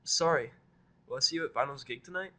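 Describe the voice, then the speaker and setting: A man's voice: medium pitch, fast, bright, forceful tone, pitched above the performer's own voice. A man doing a giggly, eager young unicorn mare. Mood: relieved.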